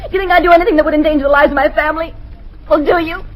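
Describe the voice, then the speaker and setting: A woman's voice: sits high, fairly even intonation, racing, close to an everyday voice. An actress playing a frightened but defiant wife and mother facing gangsters, in old-time radio acting. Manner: pleading, defiant, urgent.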